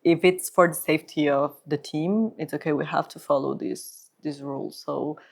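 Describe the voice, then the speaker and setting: A woman's voice: low voice, fairly even intonation, moderate pace, vocal fry. A woman from Ecuador with an Ecuadorian Spanish accent, telling personal stories as an interview guest. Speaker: podcast guest, humanitarian psychologist.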